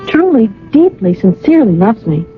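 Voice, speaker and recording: A young woman in an old radio play, wistful and searching. Her voice is fairly high and thoughtful, with hesitant phrasing, over faint music on a thin, tinny vintage recording. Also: sing-song, normal speed. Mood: dreamy, admiring. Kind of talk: old radio drama acting.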